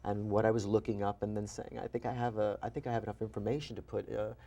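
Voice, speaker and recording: Low, mellow-voiced man chatting as a guest. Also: fast, creaky. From New York, USA. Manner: chatty, animated, self-deprecating. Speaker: guest physician and author. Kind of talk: interview conversation.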